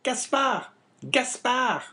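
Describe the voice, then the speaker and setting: A man's voice: very high-pitched, drawn-out words, sharp tone, pitched above the performer's own voice, full character voice. A man voicing a bossy, scolding innkeeper's wife in an audiobook, impatient and exclamatory. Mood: reproachful.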